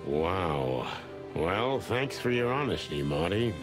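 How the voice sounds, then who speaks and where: Gruff noir rooster detective, really low voice, dry and terse, music behind. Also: male voice, big pitch swings, takes it slowly, heavily acted. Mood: sober. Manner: terse, hard-boiled, dry. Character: world-weary, gruff rooster detective, hard-boiled and terse. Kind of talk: film-noir game voice acting.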